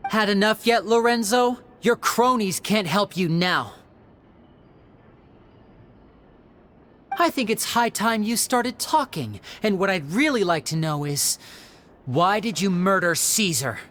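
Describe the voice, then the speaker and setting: A man's voice: high voice, animated, measured pace, a bit bright, a little hiss or hum. A man voicing a young stage magician who questions a suspect coolly, probing and lightly mocking. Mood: confident. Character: young male stage magician interrogating a villain. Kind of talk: video game voice acting.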